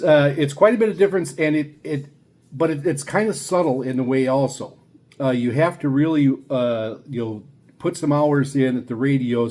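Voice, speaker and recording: Halting middle-aged man reviewing gear casually. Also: medium pitch, darkish tone. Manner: halting, rambling, hesitant delivery. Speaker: radio hobbyist video host. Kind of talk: casual product review talk. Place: small home radio room, indoors.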